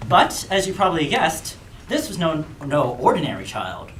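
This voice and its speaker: Casting: female comic storyteller, mid-pitched with big swoops, echoey noisy room. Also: sing-song, normal speed. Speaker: puppet show storyteller. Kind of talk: scripted live story narration, comic asides.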